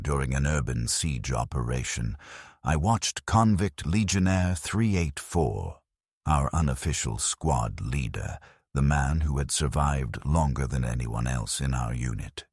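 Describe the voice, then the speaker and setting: A man narrating a dark sci-fi story as a grim, hardened penal legion soldier, solemn and measured in delivery. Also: very deep voice, breathy edge, no room sound, clean studio sound, full character voice.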